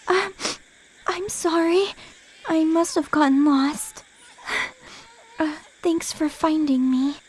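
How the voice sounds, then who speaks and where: A woman playing a gentle, self-doubting young guardian angel in an audio drama, apologetic and hesitant in manner. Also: high voice, takes it slowly, rough recording. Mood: flustered, tearful. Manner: soft-hearted, apologetic, hesitant. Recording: music under the voice. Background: music.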